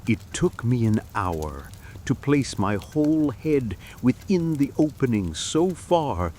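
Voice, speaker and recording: A man playing a slow, theatrical, obsessed madman. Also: medium pitch. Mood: sly, hushed. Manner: theatrical, deliberate, dropping to hushed emphasis. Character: obsessed, cunning madman boastfully confessing a murder. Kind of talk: dramatic gothic storytelling. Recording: steady background noise of fire and rain. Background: crackling fire and rain.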